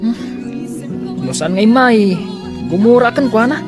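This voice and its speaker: A woman's voice: a little high, measured pace, music in the background, lightly acted. A woman with an Ilocano accent acting a friendly, teasing young relative in a radio drama. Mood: curious, teasing.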